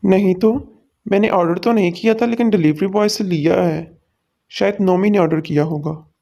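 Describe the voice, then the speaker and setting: A woman voicing a mild-mannered young husband in a cartoon, calm and soothing. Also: fairly low pitch, moderate pace, vocal fry, highs cut off.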